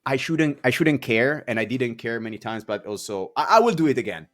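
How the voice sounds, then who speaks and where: Male host, thirties, mid pitch, hesitant and repetitive, casual talk. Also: expressive. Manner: halting, repeats words, non-native phrasing. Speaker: podcast host. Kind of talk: casual chat.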